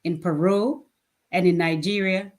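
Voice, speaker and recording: Woman in her fifties, low-pitched voice, plain-spoken and earnest, casual interview guest, quick to laugh. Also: steady tone, darkish tone. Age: 50s. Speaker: guest, nonprofit founder.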